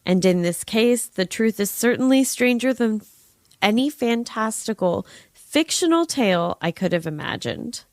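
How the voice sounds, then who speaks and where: A woman podcast host with a very lively, varied pitch and a close, dry sound. She reads scripted narration in a wry, slightly ornate way, then slips into casual, playful asides. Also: moderate pace, dry recording. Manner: wry, playful narration with old-fashioned flourishes. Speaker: true-crime podcast host.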